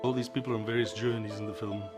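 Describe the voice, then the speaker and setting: A man actor in a documentary interview, describing his character in a calm, measured way. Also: on the low side, music in the background.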